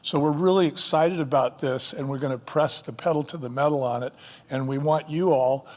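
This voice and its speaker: A man's voice: a little high, fairly quick, long reverb tail. An older man, a company co-founder, speaking emphatically in an interview like a seasoned salesman. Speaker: co-founder guest. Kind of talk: interview, persuasive pitch.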